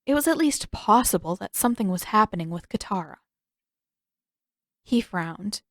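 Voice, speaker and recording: A woman's voice: mid-range voice, expressive, normal speed, no room sound, clean studio sound. A woman reading scripted fiction aloud as an audiobook narrator.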